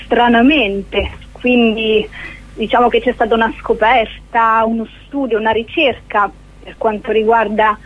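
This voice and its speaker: A woman giving an informal radio interview as a guest actress, speaking hesitantly with searching pauses. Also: a little high, steady tone, soft background music. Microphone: telephone. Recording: narrow, tinny phone line.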